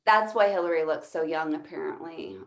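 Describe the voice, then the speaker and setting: A woman's voice: deep voice, moderate pace. A woman doing conversational stand-up comedy, rambling and swearing as she interrupts herself, recorded in an echoey room. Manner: rambling, profane, self-interrupting.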